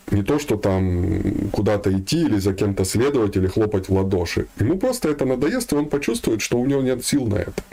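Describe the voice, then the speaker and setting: A man's voice: on the low side, a little mellow. A man giving an informal spiritual talk, speaking colloquially with some slang and addressing his listeners directly.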